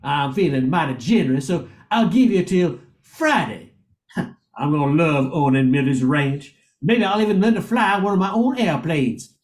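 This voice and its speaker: A man's voice: fairly high pitch, big pitch swings, slow, roomy sound. A man playing a pompous, gloating banker villain in an old-time radio play. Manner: pompous, menacing, theatrical.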